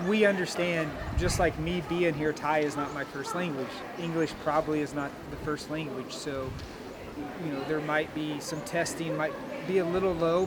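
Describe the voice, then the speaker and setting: A man who is a college golf coach, answering interview questions in a plain, steady, encouraging way. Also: fairly high pitch. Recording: noisy outdoor recording.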